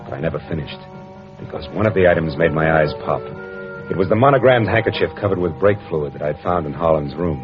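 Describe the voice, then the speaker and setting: A hardboiled American detective from an old radio play. His voice is low and his delivery is sardonic, cynical and commanding. The recording is muffled and vintage, with music beneath him. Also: lively intonation, fast. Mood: tense narration. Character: tough wisecracking male private detective, cynical and commanding. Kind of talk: hardboiled 1940s-50s radio detective acting and narration.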